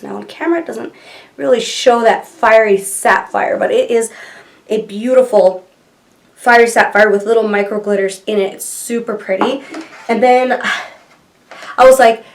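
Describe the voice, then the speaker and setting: A woman in her thirties with a stuffy nose from allergies, chatting casually and rambling as she reviews products in a small room at home. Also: fairly high pitch. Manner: chatty and rambling, gushing over colors. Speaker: beauty vlogger. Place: small bedroom filming nook, indoors.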